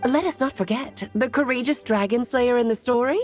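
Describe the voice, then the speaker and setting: Whimsical adult witch, acted by a woman, high voice, animated, theatrical, teasing, articulate, anime game with music behind. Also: fairly quick, natural voice. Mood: breezy, knowing. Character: playful, whimsical, self-assured adult witch mentor.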